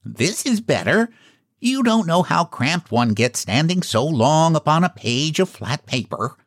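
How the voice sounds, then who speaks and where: Guy putting on a grumpy sulky clown from a kids book, pitch raised and jumping around. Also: sing-song, unhurried, dry recording, clean studio sound, heavily acted. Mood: relieved. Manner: cross, grumbling. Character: sulky, gloomy male storybook clown.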